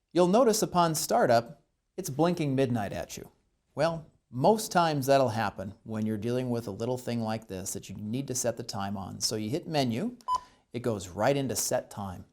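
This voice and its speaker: A man's voice: medium pitch, darkish tone. An American man presenting an instructional tutorial, explaining each step methodically and stressing the key points.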